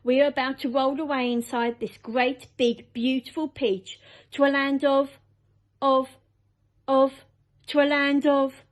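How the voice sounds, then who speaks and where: A woman's voice: sits high, little pitch movement, measured pace, voice pushed up. A woman voicing a kind, motherly ladybird in a storybook reading, explaining things in an earnest, reassuring way.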